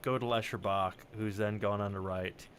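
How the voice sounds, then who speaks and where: A man chatting casually as a podcast co-host, halting and digressive, with some swearing. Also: fairly low pitch, steady tone, a bit bright, a little hiss or hum. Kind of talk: casual, rambling conversation.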